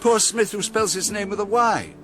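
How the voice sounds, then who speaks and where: A man's voice: high voice, normal speed, a bit bright, faint music behind. A man acting a kindly, hearty British army major in a radio drama. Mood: surprised, reassuring.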